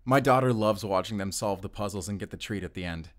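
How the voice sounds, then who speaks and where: A man's voice: on the low side, quick pace, lightly acted. A man playing an awkward, friendly, bird-loving single dad who rambles apologetically in an audio roleplay. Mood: chatty, sheepish. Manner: flustered, apologetic, chatty with nervous chuckles. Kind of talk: audio roleplay addressed to the listener.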